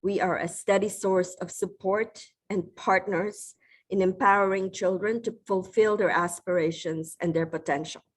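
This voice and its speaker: A middle-aged woman from the Philippines giving a formal, measured acceptance speech with occasional restarts. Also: mid-range voice, steady tone. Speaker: award recipient giving a speech.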